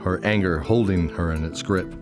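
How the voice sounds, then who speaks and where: A man's voice: sits low, moderate pace, over music. A man narrating a scripted fantasy audio drama.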